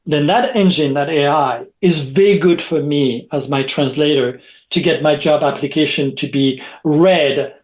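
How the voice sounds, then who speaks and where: A man's voice: fairly high pitch, unhurried, dull audio. A middle-aged man whose first language is French, speaking as a guest in a podcast interview over a video call, rambling and repeating words, often adding a questioning "right?". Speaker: guest, technology expert.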